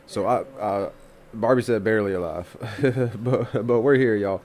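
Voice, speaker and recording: A man's voice: lowish voice, unhurried, darkish tone. Stuffy-nosed laid-back man. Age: adult with children. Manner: laid-back, rambling, swears casually. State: congested, recovering from flu. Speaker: live music review host. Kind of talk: casual live stream chat.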